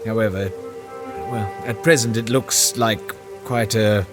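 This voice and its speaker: An actor playing a measured man of authority with drily understated, hesitant delivery in an audio drama. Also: lowish voice, fairly even intonation, over music, natural voice. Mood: wry, musing.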